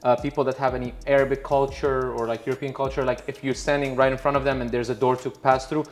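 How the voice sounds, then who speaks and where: A man's voice: medium pitch, flat delivery, fast talker. A man from Lebanon speaking as a panelist in casual conversation, explaining his thoughts in a reflective way. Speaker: podcast panelist, entrepreneur.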